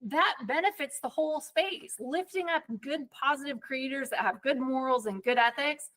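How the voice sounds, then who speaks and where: A woman hosts a podcast with a chatty, candid way of talking and a somewhat raised pitch. She rattles through stories with quick asides. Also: a bit bright. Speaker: podcast host. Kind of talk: casual chat.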